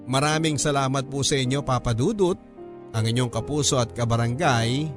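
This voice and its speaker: A man narrates a heartfelt letter for radio at a medium pitch. His delivery is earnest and pleading, like a scripted drama, with music clearly playing underneath. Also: moderate pace. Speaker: radio host reading a listener's letter. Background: background music.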